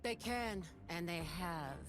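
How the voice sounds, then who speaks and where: A woman's voice: on the low side, lively intonation, takes it slowly. A woman playing a cool, self-assured, dangerous flirt in a video game, speaking suggestively. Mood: cool menace. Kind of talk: video game character voice lines. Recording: game audio under music.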